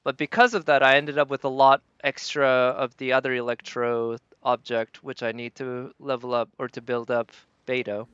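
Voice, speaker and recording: Smooth-voiced woman streaming games, slightly muffled. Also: very deep voice, fairly even intonation, fairly bright tone, no echo. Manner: thinks aloud in halting fragments. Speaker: game streamer. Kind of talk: casual livestream gameplay commentary.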